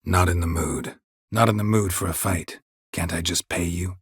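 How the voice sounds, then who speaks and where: A man playing a gruff, stoic, sardonic monster-hunting mercenary in a video game, terse and deadpan with a gravelly voice. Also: sits low, moderate pace, no room sound, studio quality. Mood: dry, guarded. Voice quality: gravelly, husky. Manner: terse, deadpan, clipped sentences. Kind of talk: video game dialogue acting.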